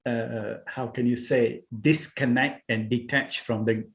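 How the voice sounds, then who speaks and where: A man's voice: medium pitch, fairly even intonation, unhurried, creaky. Originally from Singapore, this man speaks as a guest on a video call in a mid-range voice. He talks in a hesitant, searching way, restarting phrases and leaning on "you know" while recounting his path. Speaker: guest, spiritual healer and meditation teacher. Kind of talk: casual interview talk over a video call.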